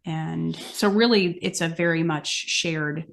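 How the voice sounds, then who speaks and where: A woman's voice: low-pitched, takes it slowly, creaky. An American woman in a casual podcast Q&A, explaining things in a practical way and thinking aloud as she goes. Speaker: podcast guest host, amateur horse show exhibitor.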